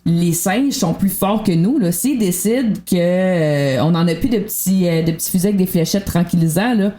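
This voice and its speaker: Mellow, low-pitched Quebec woman chatting. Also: moderate pace. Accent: Quebec French. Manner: colloquial, thinking aloud. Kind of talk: casual after-show discussion.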